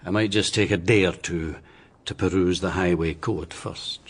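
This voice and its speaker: An actor playing a hesitant, evasive English gentleman in a period radio drama, husky-voiced, dithering and overly formal. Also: deep voice, fairly even intonation, normal speed. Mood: hesitant, flustered.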